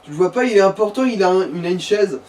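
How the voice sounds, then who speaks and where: A man's voice: sits high, fast, a little mellow. A man chatting casually as a gaming companion, explaining things in a rambling, sweary way. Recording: distant mic, faint noise.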